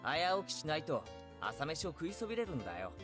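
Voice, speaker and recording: A woman's voice: deep voice, big pitch swings, very fast, music in the background. A woman playing a cheeky teenage schoolboy in a visual novel, casual and wisecracking. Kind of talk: Japanese visual novel voice acting.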